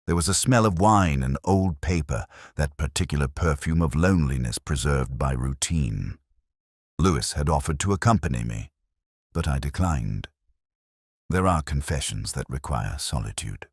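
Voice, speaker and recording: A brooding, weary police inspector played by a man tells his case as an audio drama. His voice is extremely deep, with a lively melodic range. The delivery is solemn and literary, recorded close and dry. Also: breathy edge, no room sound, full character voice. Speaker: narrator of a detective audio drama. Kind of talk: scripted first-person mystery narration.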